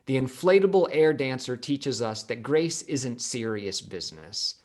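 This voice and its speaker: A man reading a scripted reflection at a church service, in short, measured, emphatic phrases with pauses. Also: mid-range voice, animated, darkish tone. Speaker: congregation member reading his reflection.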